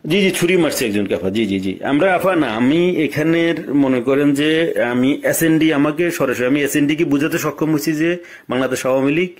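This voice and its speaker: A man with a Bangladeshi accent speaking deferentially on a recorded phone call, often repeating himself and halting as he searches for words. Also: fairly high pitch. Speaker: political party organiser. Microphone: telephone.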